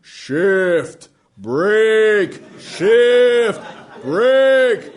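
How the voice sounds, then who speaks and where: A man voicing a gruff, impatient father who barks and scolds in Hawaiian Pidgin. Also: sits high, sing-song, normal speed, voice pushed up. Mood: yelling. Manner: barking, scolding, shouting. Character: gruff impatient father yelling driving orders.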